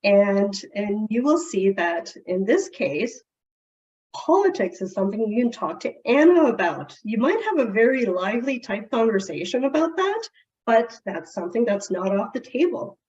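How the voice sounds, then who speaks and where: A woman's voice: mid-range voice, very animated, moderate pace. A woman whose first language is Portuguese, giving a conversational webinar presentation. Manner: warm, conversational explaining with small restarts. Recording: distant, dull-sounding webinar mic.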